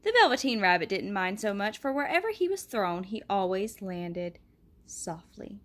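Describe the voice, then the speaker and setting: A woman reading a picture book aloud in a gentle, expressive way, with a soft laugh now and then. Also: medium pitch, normal speed. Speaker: storybook reader.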